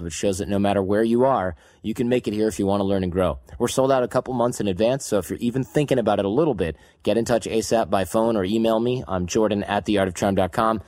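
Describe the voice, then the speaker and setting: Male podcast host, lowish voice, dry close sound, upbeat promo read. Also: darkish tone, no echo. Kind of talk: scripted promo read and interview questions.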